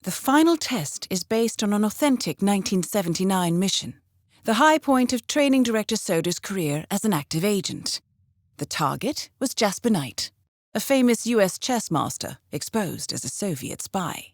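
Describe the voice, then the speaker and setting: A woman playing a composed, calculating intelligence handler in a video game, giving measured, precise mission briefings with dry, understated wit. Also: mid-range voice, very animated, dry recording. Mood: grave, confiding.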